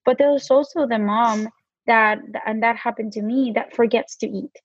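A woman's voice: on the high side, steady tone, moderate pace, husky, dry recording, dull audio. A woman with a Mexican accent, a guest on a podcast, talking casually and filling her speech with "like". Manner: chatty, many "like" fillers. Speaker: guest, brand co-founder.

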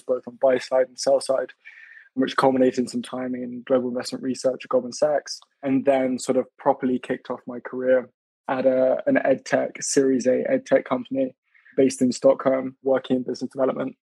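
A young Englishman introducing himself as a guest, hesitant, with fillers. His voice is gravelly and husky, mellow in tone and flat in pitch. It is recorded close and dry. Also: dry recording, rough recording. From England. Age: young adult. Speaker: guest, fellow. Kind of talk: self-introduction in an interview.

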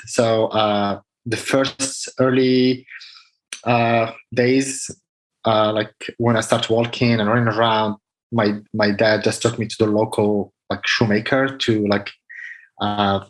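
A man's voice: on the low side, rough recording. Man telling his story. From Morocco. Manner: earnest, many fillers, simple non-native phrasing. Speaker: guest athlete. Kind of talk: casual interview answers.

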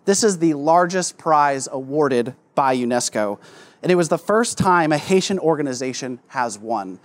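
A man's voice: on the high side, animated, moderate pace. A man hosting a summit, reading an upbeat, scripted introduction of the keynote speaker. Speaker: summit host. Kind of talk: formal introduction.

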